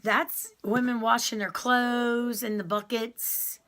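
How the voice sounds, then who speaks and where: Slow, rambling woman. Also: a little high, flat delivery. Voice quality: loud voice that carries. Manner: rambling, many ums and restarts. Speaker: YouTuber telling her prison story. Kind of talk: casual storytelling to viewers.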